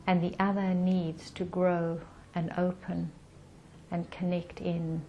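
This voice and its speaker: A woman leading a guided meditation in a calm, soothing manner with gentle pauses. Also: on the low side, dull audio, slight background noise.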